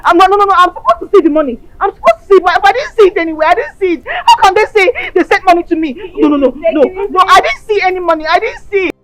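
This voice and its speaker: A woman with a Nigerian accent playing a frantic young woman who keeps insisting about vanished money, repeating herself loudly. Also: extremely high pitch, big pitch swings, brisk, poor audio. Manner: repetitive, insistent, shouty. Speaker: woman claiming missing money.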